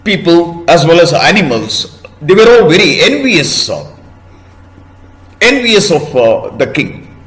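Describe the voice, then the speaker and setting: A man telling a story to children in a teacherly way, pausing to ask questions and explain words. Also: fairly high pitch, fairly bright tone, echoey room, rough recording. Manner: didactic, asks listeners questions and explains words.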